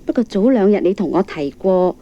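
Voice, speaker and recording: A woman's voice: mid-range voice, lively intonation, fairly quick, close to an everyday voice. A woman with a Hong Kong Cantonese accent playing a shoemaker's kindly, practical older wife in a children's radio drama. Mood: practical, content. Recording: muffled old cassette, faint music.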